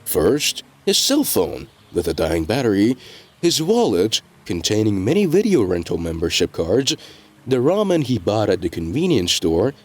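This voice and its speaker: A man reading scripted dramatic narration in a measured storytelling delivery, with deliberate pauses. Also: lowish voice, wildly swooping pitch.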